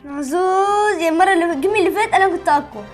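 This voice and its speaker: A woman's voice: extremely high pitch, very fast, soft background music. A woman with an Egyptian Arabic accent hosting casual, bantering gameplay in a whiny, pleading, competitive way. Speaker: gaming channel host.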